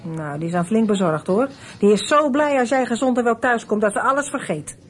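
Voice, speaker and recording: A woman playing a blunt, motherly stranger in a Dutch radio play, brisk and no-nonsense as she coaxes. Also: fairly high pitch, lively intonation, lightly acted. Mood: coaxing, practical. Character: blunt, motherly stranger advising a runaway child. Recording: noisy radio play with ambience.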